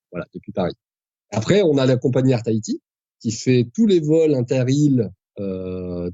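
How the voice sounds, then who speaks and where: A man's voice: on the low side, mellow, dry recording, dull audio. A man in his forties, a pilot guest on a podcast, explaining at length with frequent hesitations and restarts. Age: 40s. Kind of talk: conversational podcast interview.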